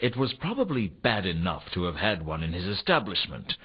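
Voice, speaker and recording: An actor playing a world-weary, sardonic noir private detective in a video game, with a dry, deadpan delivery. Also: male voice, low-pitched, a little fast, husky, exaggerated voice. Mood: dry, brooding. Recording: dull, lo-fi game audio.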